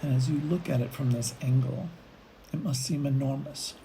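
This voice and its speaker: A woman leading a guided meditation, speaking in unhurried phrases with long pauses between them. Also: very deep voice, mellow, a little hiss or hum, rough recording. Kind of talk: guided meditation, gentle imagery narration.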